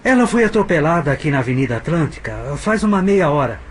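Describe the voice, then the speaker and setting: Man acting lively Brazilian street sweeper on phone. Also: a little high, fast talker, heavy background noise. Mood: urgent, plain. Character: working-class male street sweeper bringing bad news, plain-spoken. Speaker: voice actor. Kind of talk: audio drama acting.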